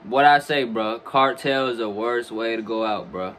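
A man's voice: mid-range voice, moderate pace, fairly bright tone. A young man giving casual, slangy reaction commentary full of swearing. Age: young adult. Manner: slangy, frequent swearing, informal address. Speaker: reaction video host. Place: small dim room at a gaming chair. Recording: noisy, game audio behind the voice.

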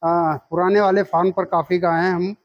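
A man's voice: high-pitched, flat delivery, moderate pace, no echo, dull audio. A man hosting a farm video, asking about the cows and agreeing often with short replies. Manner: agreeable, frequent short affirmations. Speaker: agriculture channel host. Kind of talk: on-farm interview.

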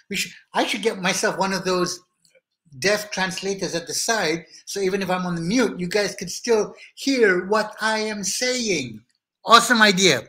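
A middle-aged Malaysian man with a Malaysian accent, hosting a live online talk show and chatting energetically with viewers in a small studio. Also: high-pitched. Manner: energetic and hyper, greeting viewers by name. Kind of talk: casual live-stream chat with viewers. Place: small indoor studio set with brick wall.

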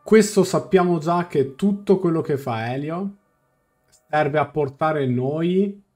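A man's voice: on the high side, animated, slow, a little mellow. Young man streaming over game music. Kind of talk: live game reaction, translating dialogue aloud. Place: small room indoors, on webcam.

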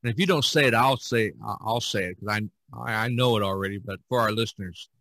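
A man hosting a casual podcast discussion, talking haltingly and often restarting his phrases. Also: lowish voice, fairly bright tone, no echo. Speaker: podcast host.

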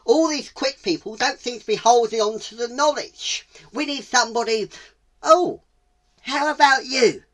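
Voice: medium pitch, moderate pace, fairly bright tone, voice pushed up, close to an everyday voice. A storyteller voicing a bossy, fed-up owl that scolds and questions in an exasperated way for a children's tale. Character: bossy, fed-up owl, leader of hungry animals.

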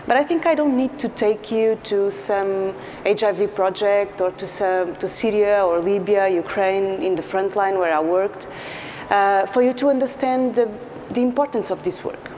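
A woman in her thirties from Portugal with a Portuguese accent, giving an earnest conference talk as a psychologist, pausing often with fillers. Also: medium pitch, darkish tone. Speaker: clinical psychologist speaker. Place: large dark stage. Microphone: lapel mic. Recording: large hall with faint noise.

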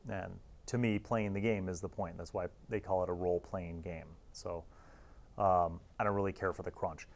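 A man's voice: low voice, steady tone, moderate pace, vocal fry. A middle-aged man hosting a casual, opinionated review, speaking bluntly and conversationally, often checking in with a tag question. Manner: conversational, blunt, often ends phrases with "right?". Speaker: host. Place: small dark indoor studio. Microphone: lapel mic.

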